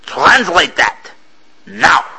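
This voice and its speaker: A man voicing a cruel, commanding ship captain who barks out slow, drawn-out threats in a storybook reading. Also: high voice, very animated, creaky, bright, forceful tone, slightly rough, voice pushed up, heavily acted, rough recording.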